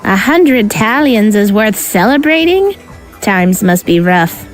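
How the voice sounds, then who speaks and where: An actress playing a gruff but friendly female insectoid bartender with blunt, teasing banter. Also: medium pitch, expressive, slow pace, soft background music.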